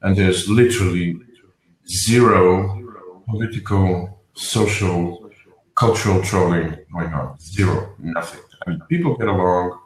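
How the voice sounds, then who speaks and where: A man with a Portuguese accent speaks in a fairly low voice. He chats casually, circling back and restarting his sentences. The room gives his voice a clear echo. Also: unhurried. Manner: rambling with frequent restarts. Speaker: panel guest. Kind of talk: casual panel chat. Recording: roomy echo.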